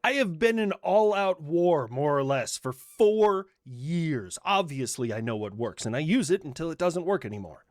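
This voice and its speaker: A man's voice: on the high side, big pitch swings, moderate pace, hardly any pauses, no room sound. A man playing a blunt, weary war leader in a comedic political roleplay, with dry and sarcastic delivery. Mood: boastful.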